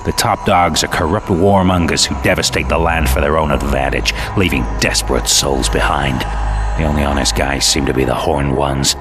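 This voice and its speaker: Very deep, jaded antihero narrator. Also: male voice, normal speed, over music. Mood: bitter, cynical. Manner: world-weary, sardonic. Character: jaded, cynical dead hitman antihero narrating his purgatory war. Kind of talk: hardboiled scripted narration.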